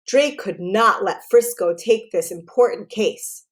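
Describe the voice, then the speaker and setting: A woman narrating a children's book aloud as a storyteller, in an expressive, deliberate way, phrased clearly for young listeners. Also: medium pitch.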